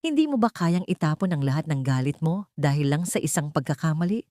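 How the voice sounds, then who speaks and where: A woman's voice: sits low, animated, a little fast, a little mellow, no room sound, studio quality. A woman storyteller voicing a disgraced executive who pleads desperately with his former lover in a dramatic romance tale. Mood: pleading. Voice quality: cracked, trembling. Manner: pleading, imploring.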